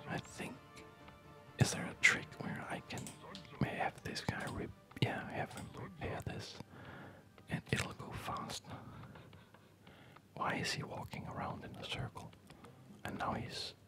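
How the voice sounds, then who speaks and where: Whispered, slow ASMR gaming commentary. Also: over music. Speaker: gaming video host.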